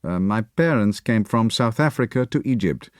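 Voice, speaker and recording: A man's voice: on the low side, normal speed, dry recording, clean studio sound, natural voice. A man doing a terse, guarded spy. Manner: terse, evasive, calm. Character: cool, guarded male spy in his thirties.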